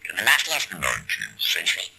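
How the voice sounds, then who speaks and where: Grave narrator of a science-fiction tale, played by a man, dramatic and lively in pitch, noisy speaker playback. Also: quick pace, gravelly, exaggerated voice.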